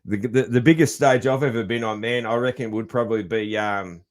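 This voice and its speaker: A man hosting a podcast interview, laid-back and loud-voiced, laughing easily and calling people "man". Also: mid-range voice, a little fast. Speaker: podcast host. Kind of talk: casual interview chat.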